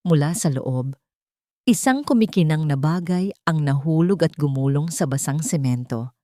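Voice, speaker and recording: A woman narrates a scripted melodrama. Her voice sits on the low side, and she tells it deliberately, with solemn suspense. The recording is dry, with hardly any room around it. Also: animated, dark tone, no room sound. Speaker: story narrator. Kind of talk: dramatic scripted story narration.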